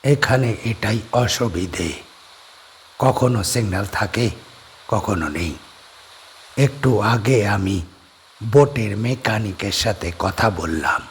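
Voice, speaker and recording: An actor playing a calm, polite man who hosts guests at his island bungalow. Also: fairly low pitch, takes it slowly, long pauses, voice pushed down. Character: middle-aged man D'Souza, calm island bungalow host.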